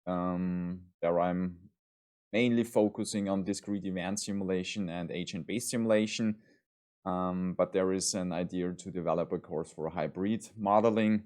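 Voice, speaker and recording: Austrian man, very low voice, lecturer giving a measured, formal course introduction with frequent ums. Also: fairly even intonation, a little mellow. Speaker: university lecturer.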